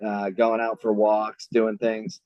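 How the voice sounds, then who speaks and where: A man's voice: lowish voice, monotone, takes it slowly, no echo. A man working as a mobility trainer, giving loose, conversational answers full of "I mean" and "right?". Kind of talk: casual explanatory interview answers. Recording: dull lo-fi sound.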